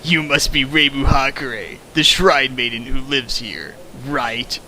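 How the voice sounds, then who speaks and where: A man's voice: mid-range voice, measured pace, sharp tone, slight background noise, rough recording. A man reading a fan fiction aloud for laughs, breaking off into sarcastic, mock-outraged commentary. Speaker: reader riffing a fan fiction. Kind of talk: comedic dramatic reading with commentary.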